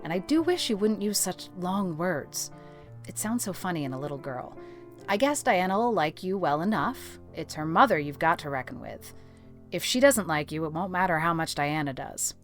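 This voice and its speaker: A stern older guardian acted by a woman in an audiobook drama, with a fairly low voice. She is brisk, practical and scolding, no-nonsense but caring, with soft music behind her. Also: lively intonation, lightly acted. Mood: brusque reassurance. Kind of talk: audiobook drama character acting.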